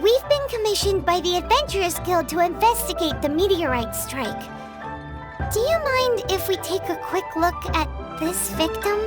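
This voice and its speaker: A woman voicing a small, childlike, excitable fairy-like girl companion in a video game, with a squeaky voice. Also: moderate pace, music in the background. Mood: concerned. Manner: speaks of herself in the third person.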